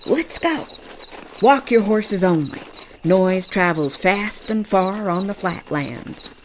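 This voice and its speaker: An actress playing a bold, wry frontier scout with a folksy drawl in a western audio drama. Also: female voice, medium pitch, animated, slow pace, slight background noise. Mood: confident.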